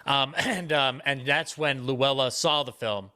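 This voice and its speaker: A man talking casually as a panelist on a discussion, rambling through stories and halting mid-thought. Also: mid-range voice, pushed and bright. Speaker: panelist, old-time radio historian.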